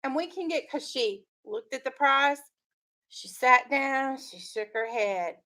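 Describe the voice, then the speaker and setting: A woman's voice: high voice, animated, slow, sharp tone. A woman teacher reading a story aloud to children, in an instructive way with leading questions.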